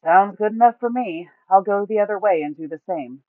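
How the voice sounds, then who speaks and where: A woman voices a helpful, alert man in a fantasy storybook. He is pitched above the narration and talks loudly and straightforwardly. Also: fairly quick, no room sound, narrow, tinny audio, close to an everyday voice. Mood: willing. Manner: loud, straightforward.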